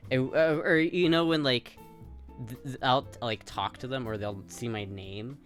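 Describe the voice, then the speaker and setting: A man's voice: medium pitch, steady tone, measured pace, fairly bright tone, soft background music. A man hosting a livestream in casual chat, stammering through restarts and laughing easily.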